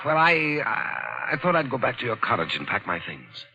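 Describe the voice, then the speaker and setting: An actor playing a skeptical, reasoning amateur detective in a 1940s radio drama, working through theories aloud. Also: male voice, mid-range voice, big pitch swings, normal speed, vocal fry, bright, forceful tone, full character voice. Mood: measured. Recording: dull lo-fi 1940s radio recording.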